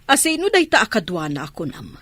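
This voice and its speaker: A woman playing a brisk lady of the house in an Ilocano radio drama, with an Ilocano accent. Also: medium pitch, huge pitch swings, fairly bright tone, no echo. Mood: questioning. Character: lady of the house, brisk employer questioning her maid.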